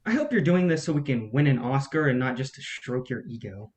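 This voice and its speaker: A man playing a young film producer's assistant in a staged play reading. His voice sits at a middling pitch, and his delivery is dry, understated and needling. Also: big pitch swings, brisk, darkish tone, lightly acted. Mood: sardonic.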